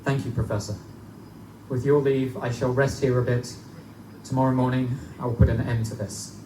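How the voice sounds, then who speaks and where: A man playing a determined, haunted young English student in a live gothic horror drama, speaking with dramatic, deliberate intensity. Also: mid-range voice, flat delivery, distant mic, natural voice. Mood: grim resolve. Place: live performance in a bookstore. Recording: echoey, noisy live bookstore recording from afar.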